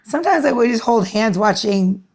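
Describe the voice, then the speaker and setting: A woman's voice: a little high, moderate pace. A woman co-hosting a podcast, telling casual stories animatedly and using "like" a lot. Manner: lots of "like", animated storytelling.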